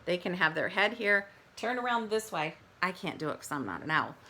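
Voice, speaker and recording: A woman kindergarten teacher reading aloud, pausing to explain and ask questions of young children. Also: on the low side, sing-song, sharp tone.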